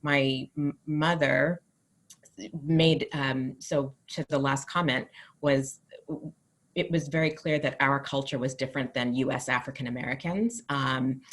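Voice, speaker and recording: A woman's voice: a bass voice, fairly even intonation, unhurried. A woman speaking in a panel discussion over a video call, hesitant and thoughtful, with frequent ums. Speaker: panel participant. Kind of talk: discussion remarks.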